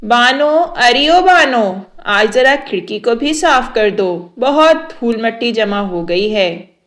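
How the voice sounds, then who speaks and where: Woman doing a caring storybook aunt, fairly high voice, slow instructive delivery. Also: lively intonation, fairly bright tone. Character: caring middle-aged aunt.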